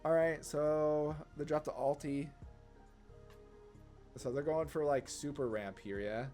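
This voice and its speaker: A man giving casual gameplay commentary, rambling informally as he thinks through each move out loud. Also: on the high side, steady tone, slow pace, soft background music. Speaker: gaming content creator, player.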